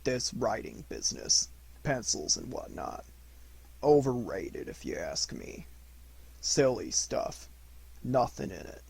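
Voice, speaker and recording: A man voicing a gloomy, self-important old donkey with a slow, ponderous, grumbling delivery in a storybook reading. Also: mid-range voice, sing-song, vocal fry, darkish tone, slightly rough, dry recording, heavily acted, poor audio. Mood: glum, resigned.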